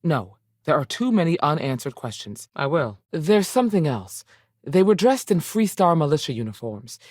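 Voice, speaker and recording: A woman's voice: low voice, very animated, moderate pace, darkish tone, slightly rough, no echo, studio quality, exaggerated voice. An actress playing a tough, dutiful woman space ranger in a video game, speaking in a plain, firm manner. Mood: serious, matter-of-fact. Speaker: video game character voice actor. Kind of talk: game dialogue lines.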